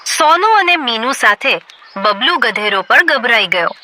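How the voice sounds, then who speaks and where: A woman narrating a children's cartoon story in a clear storytelling manner. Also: a little high, very animated, a little slow, very noisy. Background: background music.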